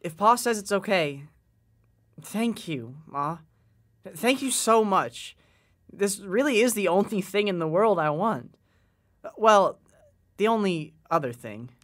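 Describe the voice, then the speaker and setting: A man playing an earnest, considerate boy in an audio drama, speaking haltingly with folksy grammar. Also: high voice, lively intonation, fairly bright tone, heavily acted. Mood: affectionate, teasing.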